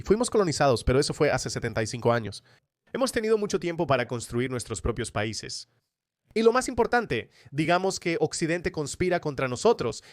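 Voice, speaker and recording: A man hosts a scripted opinion piece in a fairly high-set voice. He argues polemically with a sardonic edge. The sound is dry, with hardly any room on it. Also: no echo. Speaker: commentator and host of opinion video. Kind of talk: scripted political commentary monologue.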